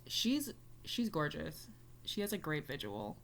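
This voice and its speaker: A woman's voice: deep voice, sing-song, a little slow, a bit bright, a little hiss or hum. A young woman chatting casually as she reacts to a music video, rambling with lots of fillers. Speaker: reaction YouTuber.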